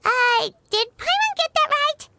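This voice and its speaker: A woman voicing a small, earnest, excitable childlike fairy companion with a squeaky voice in a video game. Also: measured pace, heavy background noise, full character voice. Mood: thoughtful. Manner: refers to self in third person, peppy. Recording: loud tinkly game music behind.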